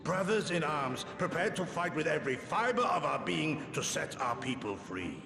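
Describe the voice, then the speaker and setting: An actor playing an aged, proud resistance warrior in a video game, speaking formal, archaic English in a dignified, theatrical way. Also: male voice, a little high, big pitch swings, moderate pace. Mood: grave, proud. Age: older. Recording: echoey hall reverb under clear music.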